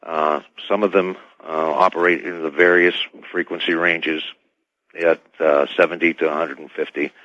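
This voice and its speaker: A very deep male voice comes through a dull, lo-fi radio phone line with no room sound. He is an expert guest, explaining technical matters in halting phrases. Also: little pitch movement, no echo. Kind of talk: technical explanation in live interview.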